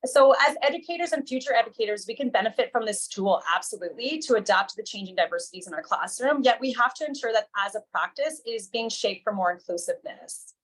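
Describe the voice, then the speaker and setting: A woman presents findings at an academic symposium. She speaks in a measured way and often pauses on "um" between points. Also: on the high side, animated, low-quality recording. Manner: measured, with frequent ums.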